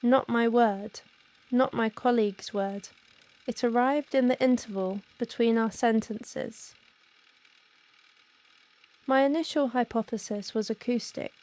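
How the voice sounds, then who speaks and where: A woman acting as a precise, rational researcher with a soft, mellow, fairly high voice. She gives formal, restrained testimony in the manner of found-audio horror. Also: unhurried, long pauses, natural voice. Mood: controlled, uneasy. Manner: formal, careful, clinical, restrained.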